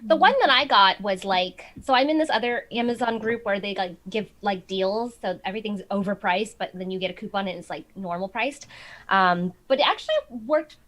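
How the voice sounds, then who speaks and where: A woman cosplayer chatting on a livestream video call, lively and quick to laugh. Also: mid-range voice. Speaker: cosplayer panelist. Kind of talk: casual livestream chat. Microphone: video call mic.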